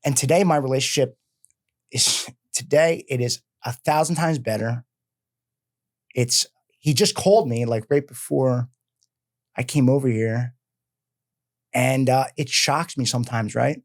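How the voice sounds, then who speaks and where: Energetic Philly man telling his story. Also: medium pitch, measured pace, long pauses. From Philadelphia. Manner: energetic, rambling, filler-heavy. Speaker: guest. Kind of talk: casual interview talk. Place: podcast studio.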